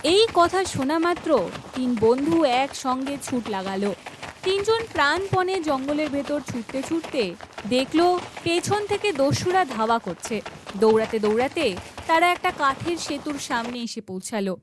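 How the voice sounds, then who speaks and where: A woman's voice: high voice, lively intonation, unhurried, background noise. A woman narrating a scripted children's folk tale.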